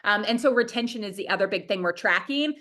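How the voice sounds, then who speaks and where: Sniffly woman with a bright voice. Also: medium pitch, expressive, fast. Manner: enthusiastic, open and talkative. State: sniffly with a head cold. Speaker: dietitian running private practices, guest. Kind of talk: interview answers over video call. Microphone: video call.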